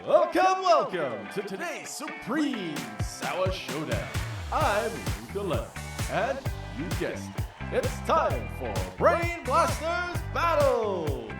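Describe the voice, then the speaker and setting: Wildly swooping, high-pitched game show host. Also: male voice, huge pitch swings, far from the mic, full character voice. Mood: showy excitement. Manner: showy, drawn-out announcer delivery. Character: charismatic, slightly sadistic, flamboyant male game show host. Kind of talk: over-the-top comedy audio drama game show hosting. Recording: echoey stage sound over music.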